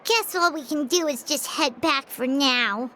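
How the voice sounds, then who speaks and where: A woman voicing a tiny, excitable fairy girl companion in a video game, squeaky and childlike, prone to exclaiming. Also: unhurried, slight background noise. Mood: uncertain, deflated. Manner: exclamatory, speaks of herself in third person.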